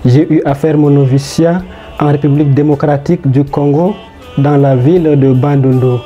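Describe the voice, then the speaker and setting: Young man from Chad, dark tone. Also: a little high, fairly even intonation, normal speed, lo-fi sound, faint music behind. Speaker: seminarian.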